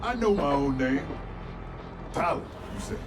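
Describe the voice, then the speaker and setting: An actor playing a gruff, cocky veteran agent in a video game, laconic and dryly wry. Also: male voice, a little high, lively intonation, measured pace, over music. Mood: suspicious, dry.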